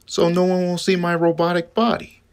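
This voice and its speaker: A woman voicing a gruff, self-conscious male cyborg rabbit uncle in an amateur cartoon, terse and reluctant. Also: fairly low pitch, moderate pace, no room sound, pitched below the performer's own voice, lightly acted. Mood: reluctant, glum. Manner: terse, reluctant, flat-toned.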